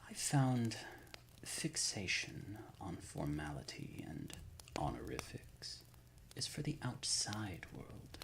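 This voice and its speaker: Guy doing a really deep slow ancient lord type for asmr roleplay, soft half-whisper, super formal and shrewd. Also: gravelly, full character voice, rough recording. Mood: gracious, relaxed. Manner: formal, measured, erudite wording with deliberate pauses. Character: courteous, shrewd, ancient male supernatural lord offering sanctuary. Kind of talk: ASMR roleplay, intimate scripted monologue.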